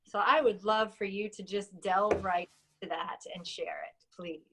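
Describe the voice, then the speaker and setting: A woman hosts an online interview over a video call, her voice at an ordinary mid pitch. She sounds gracious and gently encouraging as she welcomes and prompts her guest. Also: fairly even intonation, normal speed, a little hiss or hum. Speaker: summit host, interviewer.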